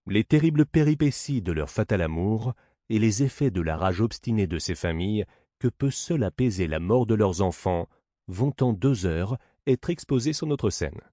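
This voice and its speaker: A man narrating a classic play as an audiobook, in a measured, declamatory theatrical reading. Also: lowish voice, animated, dark tone, dry recording, studio quality.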